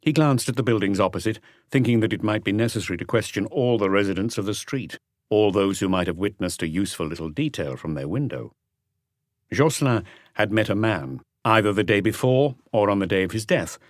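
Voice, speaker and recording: A man's voice: lowish voice, expressive, brisk, no room sound, clean studio sound. A man reading a mystery novel as an audiobook narrator in a scripted storytelling style.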